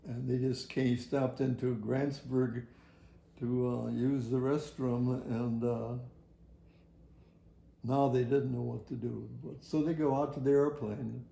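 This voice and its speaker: An older man tells a personal story from his working life in a halting, rambling, folksy way. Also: medium pitch, little pitch movement, creaky, dark tone, reverberant, a little hiss or hum. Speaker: retired air traffic facility worker. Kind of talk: personal oral history storytelling.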